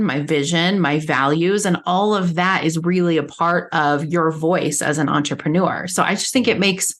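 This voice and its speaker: A woman hosting a business podcast, talking in a relaxed, friendly way with quick asides. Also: on the low side. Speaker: podcast host. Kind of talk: conversational podcast interview.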